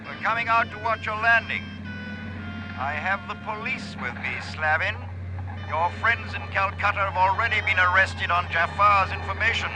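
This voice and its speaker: An actor playing a brisk, commanding airstrip man giving orders in an old-time radio drama. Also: high voice, over music, natural voice, poor audio. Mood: firm, urgent.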